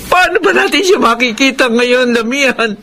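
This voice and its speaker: A woman's voice: high-pitched, expressive, moderate pace, pushed and bright, slight background noise. An actress playing an anxious, reproachful older mother in a Filipino radio drama.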